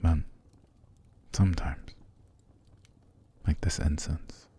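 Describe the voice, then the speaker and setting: A man playing a possessive, seductive vampire master in an ASMR roleplay, calm and commanding, slow and formal, often sinking to a gravelly whisper. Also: rumbling low, heavily acted. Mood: dreamy, wistful. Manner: slow, formal, soothing but controlling.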